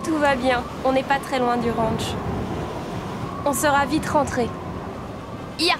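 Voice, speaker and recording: High-voiced, gentle young girl rider. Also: takes it slowly, heavy background noise. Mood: reassuring. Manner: soothing, talks reassuringly to a horse. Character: gentle, worried young girl horse rider, lost on trail. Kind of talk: French animated series dub. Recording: background music under voice.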